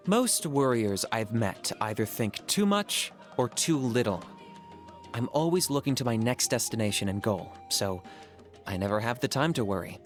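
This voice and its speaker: Young game hunter, pragmatic, calm, deadpan, medium pitch, composed delivery. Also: male voice. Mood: calm resolve. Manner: calm, matter-of-fact, deadpan. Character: cool pragmatic young male hunter-for-hire. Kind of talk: video game character voice lines.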